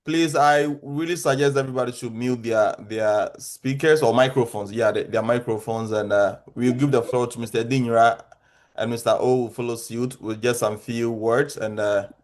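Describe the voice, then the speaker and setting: A man's voice: medium pitch, fairly even intonation, moderate pace. A man with a Ghanaian accent hosting and moderating an informal online group meeting.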